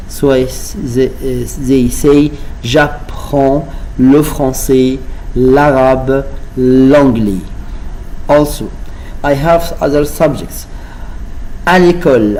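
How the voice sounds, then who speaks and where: A man's voice: medium pitch, darkish tone, slight background noise, poor audio. A man teaching a French vocabulary lesson, switching between French and English and repeating each word for learners. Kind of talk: flipped-class vocabulary lesson.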